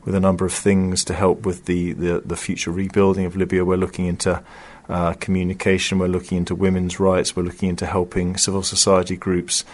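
A man's voice: sits low, monotone, a little fast. A male official spokesman answering in an interview, hesitant and repetitive.

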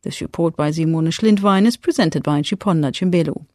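Lowish-voiced woman presenting radio news, dry studio. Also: brisk, a little mellow, no room sound. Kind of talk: scripted news narration.

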